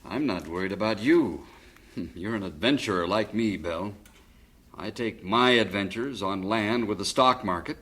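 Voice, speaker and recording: A man's voice: lowish voice, measured pace. A fond philosophical father. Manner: gentle, wry, philosophical. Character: fond widowed father, stock investor. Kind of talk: vintage radio drama acting.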